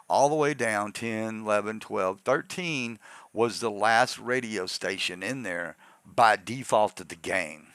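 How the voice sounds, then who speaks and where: A man with a gravelly voice narrates a software tutorial in an informal, rambling step-by-step way, often checking in with an "okay". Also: medium pitch, dry recording. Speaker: tutorial host.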